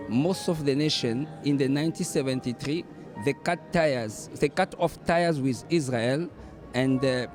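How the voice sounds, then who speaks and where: Earnest Congolese-accented reverend, music behind. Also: male voice, fairly high pitch, darkish tone. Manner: earnest, halting with many fillers and restarts. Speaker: reverend, event organizer. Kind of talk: interview answers. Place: hotel dinner event.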